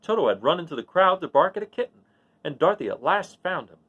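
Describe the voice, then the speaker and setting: Animated, slightly high-voiced male storyteller. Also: fast talker, slightly rough, lo-fi sound. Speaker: read-aloud storyteller, host of the series. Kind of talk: storybook narration with informal asides.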